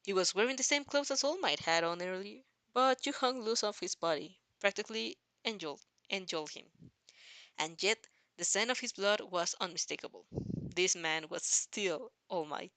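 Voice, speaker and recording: Amateur female narrator for dramatic fan fiction, bright forceful tone, dry close sound, halting delivery with repeated phrases. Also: mid-range voice, lively intonation, no room sound. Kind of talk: dramatic second-person story narration.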